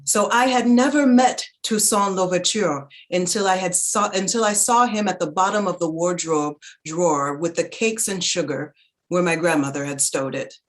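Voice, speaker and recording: A woman acting a reflective low-voiced narrator. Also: moderate pace, lightly acted. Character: reflective woman narrator remembering her bookish childhood. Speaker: actor playing the narrator. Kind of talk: dramatic literary reading.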